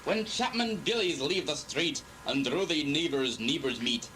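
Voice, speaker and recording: A man's voice: on the high side, lively intonation, normal speed. A man giving a theatrical, declamatory dramatic reading of poetry. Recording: clearly noisy recording.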